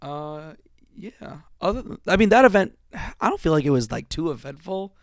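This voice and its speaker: A man hosting a casual podcast, his voice sore and worn out after days of strain. He sits a little high in pitch for a man and talks in a rambling way, swearing casually and often restarting sentences. The recording is close and dry, with almost no room sound. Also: sing-song, moderate pace, no room sound. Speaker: podcast host.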